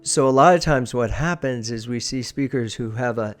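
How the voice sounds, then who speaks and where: A man's voice: medium pitch, moderate pace, soft background music. A man giving an informal instructional talk as a presentation coach, stressing his points emphatically and repeating key phrases.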